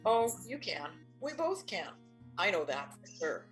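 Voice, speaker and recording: A woman's voice: medium pitch, big pitch swings, measured pace, faint music behind. An older woman playing a firm, practical, exasperated but loving wife in an audio play, matter-of-fact and insistent. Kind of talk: audio play stage acting.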